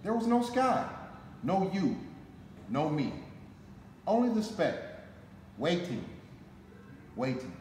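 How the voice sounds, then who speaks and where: A man's voice: high voice, wildly swooping pitch, darkish tone. American man reading dramatically in an echoey hall. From Detroit, United States. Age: 40s. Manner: dramatic, deliberate phrasing with emphatic repetition. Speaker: firefighter reading a picture book aloud. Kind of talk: expressive children's story read-aloud. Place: concrete-floored fire station garage, indoors. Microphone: camera a short distance away. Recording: echoey hall, noticeable background noise.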